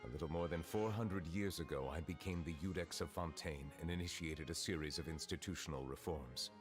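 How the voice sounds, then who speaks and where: A super deep formal dragon judge, slow and grave, game music behind. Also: male voice. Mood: solemn. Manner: formal, measured, solemn. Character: ancient dragon in human form, grave male judge. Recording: game audio over loud music.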